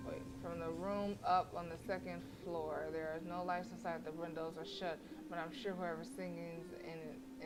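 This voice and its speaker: A woman livestreaming a horror visual novel, reading the game text aloud casually, stumbling and correcting herself as she goes. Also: lowish voice. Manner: casual, stumbles over words and self-corrects. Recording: noisy livestream microphone.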